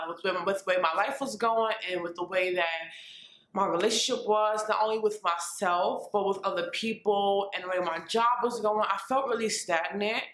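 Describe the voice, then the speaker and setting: A woman's voice: mid-range voice, fairly bright tone. Chatty woman in her twenties, echoey room. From New York. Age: 20s. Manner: chatty, rambling with restarts and fillers. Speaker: vlogger. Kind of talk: casual life-update vlog. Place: her apartment.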